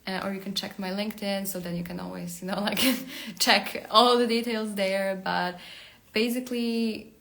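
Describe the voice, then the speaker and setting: Chatty woman with vocal fry. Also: mid-range voice, fairly even intonation, moderate pace, a bit bright. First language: Polish. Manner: chatty and rambling, with many fillers and restarts. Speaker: career coach hosting a live stream. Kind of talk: casual live-stream monologue. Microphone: phone.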